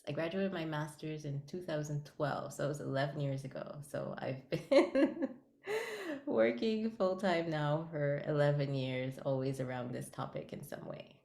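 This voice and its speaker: A woman from Guyana talks as a guest in a casual interview, cheerful and quick to laugh. Her voice sits low for a woman, and the recording carries a noticeable room echo. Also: creaky, darkish tone. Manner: cheerful, laughs between phrases. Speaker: podcast founder, guest.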